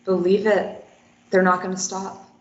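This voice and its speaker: A woman reading a defiant captive young woman at a table read, firing back quick retorts. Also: mid-range voice, flat delivery, echoey room, dull audio, close to an everyday voice. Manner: quick retorts, tense and pleading when threatened.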